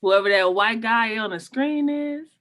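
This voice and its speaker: A woman's voice: on the high side, a little slow, fairly bright tone. A woman playing a razzing, loyal friend in her early thirties in a screenplay table read, blunt and slangy. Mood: dry razzing.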